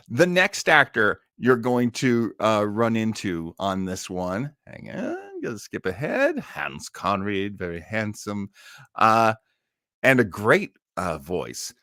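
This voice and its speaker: A man's voice: on the low side, sharp tone, no room sound, studio quality. A middle-aged or older man hosting a live online listening party, chatting casually and enthusiastically like a devoted fan. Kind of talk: casual live chat introducing a radio cast.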